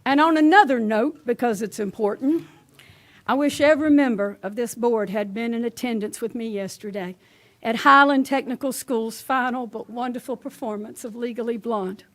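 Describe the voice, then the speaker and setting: A woman giving an indignant but measured public comment at a podium microphone in a meeting room. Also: a little high.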